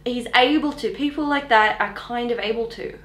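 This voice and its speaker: A woman's voice: fairly high pitch, moderate pace, fairly bright tone, roomy sound. A woman in her twenties with an Australian accent, chatting casually and bluntly, with colloquial opinions. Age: 20s.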